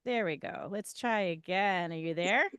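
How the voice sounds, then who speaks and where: A woman hosting a casual radio interview from a studio, chatty and quick to laugh. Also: on the low side, a bit bright, smooth, no room sound. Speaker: radio show host. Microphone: studio mic.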